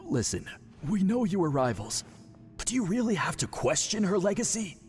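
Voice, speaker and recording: A man's voice: high-pitched, big pitch swings, moderate pace, darkish tone. A man playing a frank, loyal young shaman disciple, earnest and a little defensive, in a video game. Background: background music.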